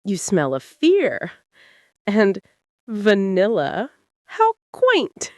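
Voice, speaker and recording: Lady doing a snooty cold noblewoman, slow drawly threats, sneering, fantasy audiobook villain. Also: mid-range voice, wildly swooping pitch, a little mellow, dry recording, clean studio sound, heavily acted. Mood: smug, menacing. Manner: drawling, scornful, menacing.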